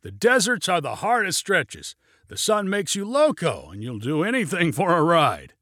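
Storybook pilot, played by a male narrator: reckless, cheerful, folksy, loud, raised pitch, swooping delivery. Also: huge pitch swings, normal speed, no echo, clean studio sound, exaggerated voice. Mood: eager. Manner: folksy, loud, breezily confident.

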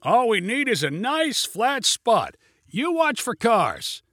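A man voicing a reckless, cheerful pilot who shouts in a folksy, breezily confident way for an audiobook story. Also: very high voice, big pitch swings, takes it slowly, no echo, clean studio sound, pitched above the performer's own voice, exaggerated voice.

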